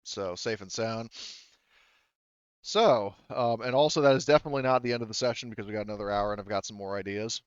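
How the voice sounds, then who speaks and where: A man's voice: on the low side, quick pace, clear, resonant tone, no room sound, highs cut off. A man chatting casually and informally as game master of an online tabletop game, swearing freely. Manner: informal, profane.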